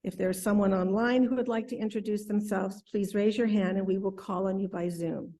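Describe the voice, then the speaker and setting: A woman leading a church service, speaking slowly and in a measured way. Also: mid-range voice, steady tone, mellow. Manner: slow, measured reading. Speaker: service leader.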